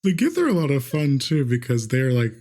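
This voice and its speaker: A man's voice: medium pitch, sing-song, fairly quick, darkish tone. A man chatting casually as the game master of a tabletop podcast. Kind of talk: casual chat.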